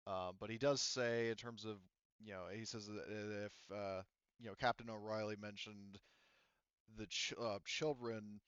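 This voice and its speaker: Soft-spoken man running a tabletop game. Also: lowish voice, fairly even intonation, normal speed, clean tone, no echo, highs cut off. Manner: hesitant, filler-heavy, says "you know" and "like" often. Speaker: game master. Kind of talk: relaying an in-game character's words to players.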